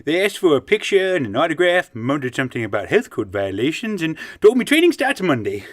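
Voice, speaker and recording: A man acting a meek old superhero, swooping pitch. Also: sing-song, a little fast, no room sound, clean studio sound. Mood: meek, put-upon. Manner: hesitant, stammering. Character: meek, hard-up retired male superhero called Pop. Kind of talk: comic radio play acting.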